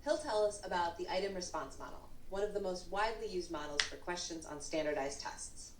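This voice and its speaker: A woman giving a measured, scripted course introduction as its narrator. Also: on the low side, expressive. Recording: played course video, roomy with faint noise.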